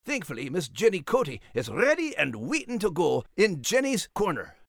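A man voicing a small, proud male dog with a Scottish accent, gruff, gravelly and indignant, for a children's podcast. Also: high voice, huge pitch swings, moderate pace, a bit bright, dry recording, studio quality, exaggerated voice. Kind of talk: cartoonish children's podcast character.

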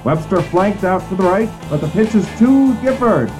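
Man acting a high-pitched 1950s football announcer. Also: normal speed, over music, poor audio. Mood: excited. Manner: declamatory, building excitement on plays. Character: 1950s football radio-TV play-by-play announcer, brisk and dramatic. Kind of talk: old-time sports commentary.

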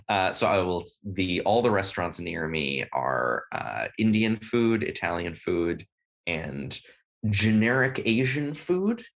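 An American man, a podcast guest, talking casually and thoughtfully in an interview, often pausing with "uh" and "you know". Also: sits low, big pitch swings, vocal fry, a bit bright. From United States. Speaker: podcast guest, game writer.

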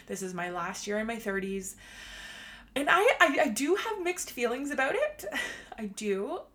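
Woman, late 30s, cheerful motivational podcast host, chatty and warm-toned delivery, a bit high-pitched. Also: very animated, normal speed. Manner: bubbly, chatty, laughs often. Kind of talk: upbeat motivational podcast monologue.

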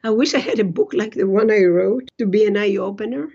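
A woman's voice: mid-range voice, brisk, mellow, husky, muffled recording. A woman in her sixties from Greece with a Greek accent, speaking as an interview guest in a blunt, emphatic, self-assured conversational way. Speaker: interview guest, physician and author. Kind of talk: conversational personal storytelling.

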